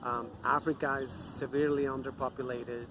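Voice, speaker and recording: A man's voice: medium pitch, flat delivery, a little fast, background noise. Angry man ranting outdoors. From Mexico. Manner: angry, profane, scornful. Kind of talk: off-the-cuff ranting monologue.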